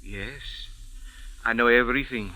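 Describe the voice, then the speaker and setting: An actor playing a vengeful sand diviner in an old radio drama, speaking in solemn, formal, mysterious phrases. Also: male voice, mid-range voice, a little slow, pushed and bright, low-quality recording. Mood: mystical. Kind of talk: 1940s-50s radio acting.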